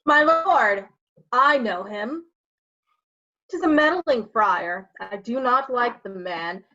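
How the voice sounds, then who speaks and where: Woman playing a cheeky gentleman courtier, slightly dull audio. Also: on the high side, animated, slow pace, heavily acted. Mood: scornful. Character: cheeky, gossiping gentleman courtier with bawdy humour. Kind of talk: staged reading of Shakespeare.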